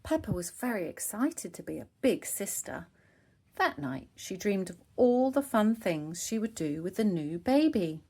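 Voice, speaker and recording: Slow, animated woman reading a storybook. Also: medium pitch. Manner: expressive, clearly phrased for young children. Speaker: storybook reader. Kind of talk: children's read-aloud narration.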